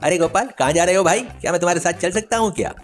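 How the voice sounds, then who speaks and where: A man's voice: sits high, racing, background noise, natural voice. A man voicing a boastful, idle village chatterbox who pushes his tall tales insistently in a children's story. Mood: wheedling. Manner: bragging, insistent, wheedling.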